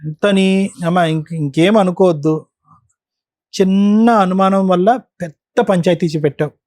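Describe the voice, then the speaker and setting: Mellow-voiced calm male counsellor. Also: sits high, unhurried, dry recording, clean studio sound. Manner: calm, patient, reasoning. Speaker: counsellor on a talk show.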